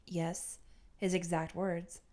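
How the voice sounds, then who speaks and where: A woman's voice: on the low side, little pitch movement, takes it slowly, darkish tone. A woman voicing a persistent, caring man who speaks in a casual, coaxing way in an audiobook.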